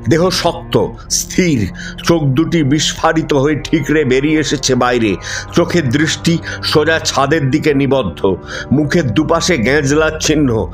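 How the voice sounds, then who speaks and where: A man narrating a horror story in the first person, dramatic and suspenseful, with pauses for effect. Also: fairly high pitch. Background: music.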